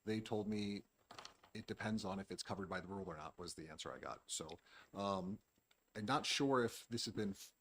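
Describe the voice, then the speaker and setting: A man's voice: fairly low pitch, a little fast, a bit bright, slight background noise. A man, a respiratory therapist, giving public testimony at a hearing in an earnest, questioning, slightly rambling way. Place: legislative committee hearing room.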